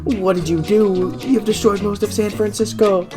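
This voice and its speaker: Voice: medium pitch, steady tone, moderate pace, voice pushed up, natural voice. A reader voicing a shocked, pleading young man in a dramatic, stammering fan fiction reading. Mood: alarmed. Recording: music under the reading.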